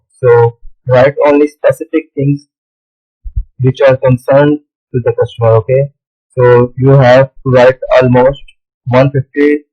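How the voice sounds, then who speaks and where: Urdu-speaking guy doing a screen tutorial, lots of okay so, flat voice, muffled mic. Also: mid-range voice. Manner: halting, with many "okay, so" fillers. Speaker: tutorial host. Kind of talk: screen-recorded tutorial walkthrough.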